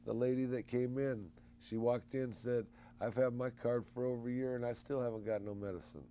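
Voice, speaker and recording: A man co-hosting a call-in show, animated and folksy, rallying listeners in a casual way. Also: mid-range voice, brisk, slight background noise. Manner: animated, folksy, preachy promoting. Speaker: co-host.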